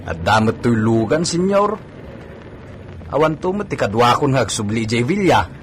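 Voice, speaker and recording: A man's voice: mid-range voice, very animated, normal speed, slight background noise, close to an everyday voice. A man playing a cheerfully deferential, folksy servant in an Ilocano radio comedy-drama, colloquial and reassuring. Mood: apologetic.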